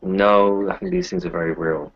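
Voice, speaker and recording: A man's voice: low voice, fairly even intonation, normal speed, slightly rough. A man talking casually in character during a tabletop roleplaying game, recorded with dull lo-fi sound.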